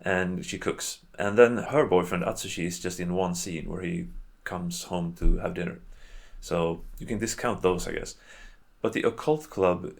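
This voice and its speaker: A man hosting a video in casual, unscripted commentary, with a halting, rambling and hedging way of talking. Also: deep voice, creaky. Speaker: video host reviewing games and adaptations.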